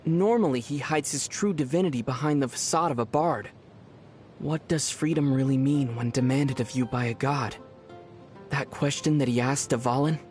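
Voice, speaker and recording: A man playing a young adventurer hero in a video game, with a youthful voice and a measured, thoughtful, gently wry manner. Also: mid-range voice, lightly acted. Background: music.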